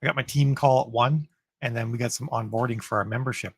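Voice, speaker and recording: A man hosting a writers' livestream in an upbeat, chatty way, full of fillers and restarts, his voice getting raspy from long talking. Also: medium pitch, steady tone, fast, no echo. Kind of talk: casual livestream chat answering viewer comments.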